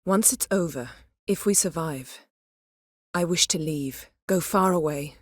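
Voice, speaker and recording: A woman playing a haughty, sharp-tongued sorceress in a video game, speaking formally and imperiously with cutting poise. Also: low voice, unhurried, dry recording, clean studio sound. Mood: earnest. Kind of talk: video game character acting.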